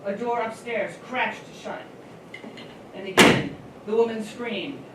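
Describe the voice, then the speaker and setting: A woman reads a scary story dramatically, with big swings in pitch. The recording is very noisy, distant and full of room echo. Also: lively intonation, slow pace. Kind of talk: dramatic spooky story reading. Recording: very noisy, echoey, distant microphone.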